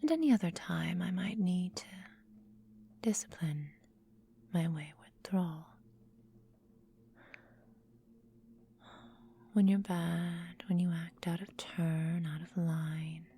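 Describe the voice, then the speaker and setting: Whispering ancient vampire mistress, acted by a woman, slow and menacing. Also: on the low side, fairly even intonation, dark tone, a little hiss or hum. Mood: stern, possessive. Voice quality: breathy whisper. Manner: slow, deliberate, condescending, formal diction. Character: centuries-old female vampire mistress, possessive, menacing, coolly doting. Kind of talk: whispered ASMR roleplay.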